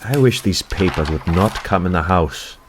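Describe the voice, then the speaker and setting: An actor playing a gentle, principled professor in a period audio play. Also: male voice, low-pitched, animated, moderate pace, noisy background. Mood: disapproving. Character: gentle, principled German professor, a grown man.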